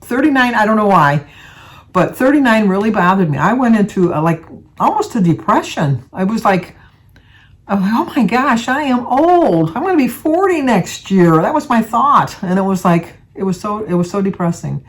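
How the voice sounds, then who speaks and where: A woman's voice: medium pitch, sing-song, normal speed. A woman, chatting casually to her viewers and rambling through everyday stories. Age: 70s. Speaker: vlogger.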